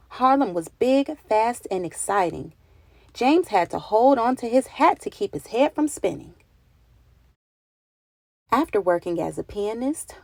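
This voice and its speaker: A woman reading a picture book aloud for children, with expressive, deliberate phrasing and dramatic emphasis. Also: medium pitch, vocal fry, dry recording. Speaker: children's read-aloud narrator. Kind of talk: expressive storybook narration for children.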